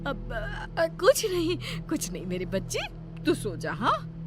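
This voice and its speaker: A woman's voice: sits high, huge pitch swings, takes it slowly, loud music behind the voice, full character voice. A woman voicing a doting, anxious old village grandmother in a cartoon story, fussing affectionately and dramatically. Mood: startled, evasive. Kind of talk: Hindi cartoon horror story acting.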